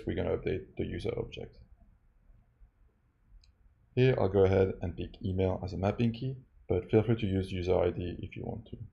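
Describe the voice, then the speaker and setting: A man narrating a step-by-step software tutorial as a demo presenter. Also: low-pitched, vocal fry, highs cut off. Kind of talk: scripted tutorial narration over a screen recording.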